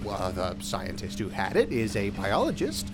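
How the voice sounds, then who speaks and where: An actor playing a nervous, stammering thief who is eager to make a deal. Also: male voice, lowish voice, wildly swooping pitch, moderate pace, over loud music. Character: nervous young male thief. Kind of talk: audio drama acting.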